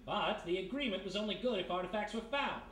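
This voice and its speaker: Man playing a high-voiced wisecracking radio detective. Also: lively intonation, quick pace, a bit bright. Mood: confident, sardonic. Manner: wisecracking, slangy, explaining confidently. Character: brash American private detective, reformed thief, laying out a case. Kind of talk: 1930s-style detective radio play acting before a live audience. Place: live performance in a historic house. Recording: roomy live stage performance, faint noise.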